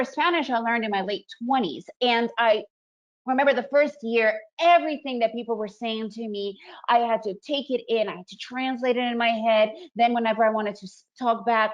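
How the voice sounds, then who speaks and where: A woman, presenting a trading webinar in a chatty, upbeat way and often checking in with "right?". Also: fairly high pitch. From Soviet Union, raised in the US. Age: 40s. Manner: chatty, upbeat, frequent fillers and "right?" tags. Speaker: trading educator hosting a webinar. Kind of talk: casual presentation. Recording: dull lo-fi webinar audio.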